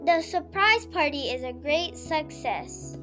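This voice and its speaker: A woman reading a children's story aloud in an expressive, scripted storytelling manner. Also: high voice, slow, soft background music. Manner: expressive read-aloud delivery with character voices. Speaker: storybook reader.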